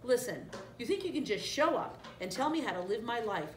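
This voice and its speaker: A woman's voice: on the high side, lively intonation, normal speed, distant mic, slight background noise, pitched above the performer's own voice, lightly acted. A woman voicing a troubled young male lion in a storybook, guarded and defensive, in a roomy recording. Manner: defensive, subdued then indignant.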